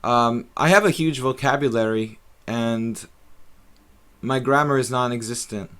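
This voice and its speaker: A man's voice: mid-range voice, a little slow, a bit bright, clean tone. A middle-aged man casually commentating his own chess games on a live stream, thinking aloud with many fillers.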